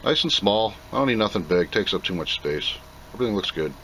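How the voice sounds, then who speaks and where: A man's voice: lowish voice, expressive, quick pace, a bit bright, slight background noise, low-quality recording. A plainspoken man giving an off-the-cuff, casual commentary as he reviews and unboxes a product.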